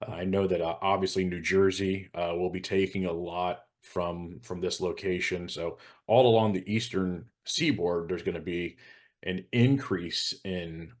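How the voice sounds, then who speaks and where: An American man talking informally as a hazardous materials expert, explaining things in a measured, matter-of-fact way. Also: sits low, fairly even intonation.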